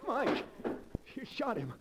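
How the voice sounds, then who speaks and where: A man's voice: extremely high pitch, very animated, slow pace, heavy background noise, full character voice. An actor playing a nervous, guilt-ridden man dragged into crime, anxious and pleading in 1940s radio melodrama style. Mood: panicked. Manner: anxious, pleading, breathy outbursts. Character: desperate, guilt-ridden locksmith, nervous reluctant male criminal.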